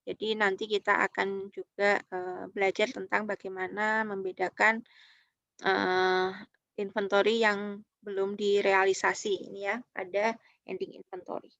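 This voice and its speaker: Female Indonesian lecturer, medium pitch, dry but dull lo-fi sound, halting explanatory delivery with frequent check-in tags. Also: flat delivery, fairly bright tone, dry recording. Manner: halting, often checks in with short tag words. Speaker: university lecturer. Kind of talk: online accounting lecture, explanatory.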